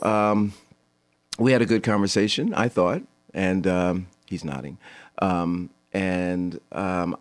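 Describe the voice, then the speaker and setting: A man in his fifties, a veteran actor guest on a radio show, telling a story in a relaxed, halting way with many pauses. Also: deep voice. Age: 50s. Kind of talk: casual storytelling interview. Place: radio studio.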